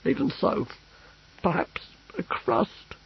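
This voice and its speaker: A man's voice: high-pitched, expressive, slow, gravelly, breathy edge, dull audio, pitched above the performer's own voice, exaggerated voice. A man voicing a sulky, pampered young emperor with a whining voice in a storybook reading. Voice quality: whiny.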